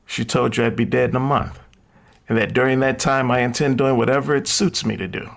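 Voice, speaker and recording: An actor playing a cocky, reckless young thief in a vintage radio drama, with a husky, gravelly voice and a flippant manner. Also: male voice, medium pitch, steady tone, brisk, lightly acted. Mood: cocky, wary. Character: reckless doomed young man, cocky thrill-seeking diamond thief.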